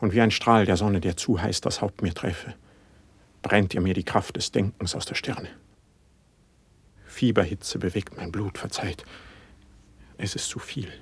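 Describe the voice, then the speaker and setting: Fervent court poet, lowish husky voice, solemn verse declamation, humble and impassioned, dry close sound. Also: male voice, unhurried, long pauses, no room sound, full character voice. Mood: agitated, pleading. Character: humble, fervent young male court poet, overwhelmed by honour. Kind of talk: classical verse drama, stage-trained declamation.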